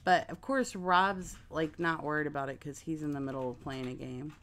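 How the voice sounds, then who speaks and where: A chatty woman in her forties with a nasal, slightly low voice, talking viewers through a sewing project. Her talk rambles from thought to thought. A faint clatter of typing sits under the voice in a home craft room. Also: normal speed, fairly bright tone, slight background noise. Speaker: craft livestream host. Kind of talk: casual live sewing chat.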